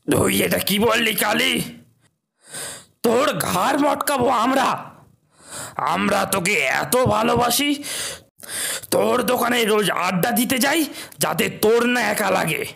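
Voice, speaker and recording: A man playing a possessive but loyal ghost in a horror cartoon, with a raspy, gravelly voice and forceful delivery. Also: sits high, lively intonation, a little slow, long pauses, full character voice. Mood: hurt, protesting. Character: possessive male ghost, loyal dead friend. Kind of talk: cartoon horror story acting.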